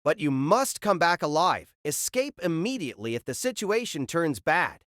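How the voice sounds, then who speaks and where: A man playing an authoritative young student council president in an audio drama, speaking in a formal, measured way. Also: a little high, very animated, fairly bright tone, no echo, clean studio sound. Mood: grave, firm.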